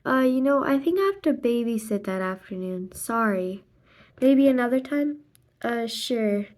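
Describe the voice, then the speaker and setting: Slow-paced woman reading a children's book aloud. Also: on the high side, animated, a little mellow. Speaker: read-aloud narrator. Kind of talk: expressive read-aloud of a children's graphic novel, voicing dialogue and sound effects.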